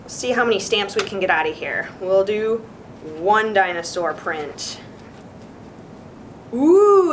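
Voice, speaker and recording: A woman hosting a children's craft session, showing the steps in an informal, encouraging, chatty way. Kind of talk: casual craft demonstration for children. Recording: clear background noise with low rumble.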